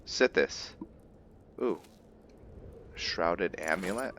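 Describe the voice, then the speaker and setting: A man's voice: sits low, expressive, very slow, fairly bright tone, a little hiss or hum. A man giving casual, musing commentary as he role-plays through a game, talking as "we". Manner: musing, speaks as "we". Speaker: gamer narrating a role-play.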